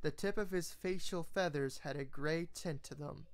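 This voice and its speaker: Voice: mid-range voice, normal speed. A narrator reading a scripted fantasy story aloud in the manner of an audiobook. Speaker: fan fiction reader.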